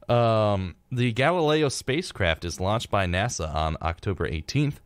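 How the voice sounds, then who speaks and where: A young American man with a fairly low voice co-hosts a casual radio talk show. He is laid-back, moving between read-out facts and offhand remarks. The recording is dry and close, as in a studio. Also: lively intonation, measured pace, no echo.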